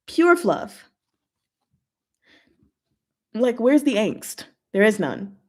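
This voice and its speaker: A woman's voice: on the high side, slow pace. A woman hosting a casual chat and Q&A, reading and answering comments in a giggly way with emphatic short verdicts. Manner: giggly, animated asides with emphatic one-word verdicts. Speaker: host of a chat and Q&A stream.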